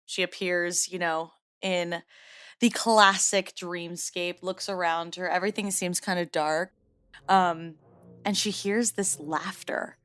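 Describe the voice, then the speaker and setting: A woman's voice: on the low side, steady tone, slow, fairly bright tone, clear, resonant tone. A young woman in a casual tabletop roleplay, chatty and giggly and full of fillers. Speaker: player.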